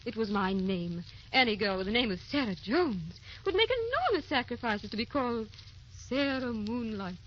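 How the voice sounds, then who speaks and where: An actress playing a tender, anxious young wife in an old radio drama, with a theatrical, wistful, pleading delivery. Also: female voice, on the high side, very animated, normal speed, fairly bright tone. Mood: playful, teasing. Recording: dull, hissy old radio recording.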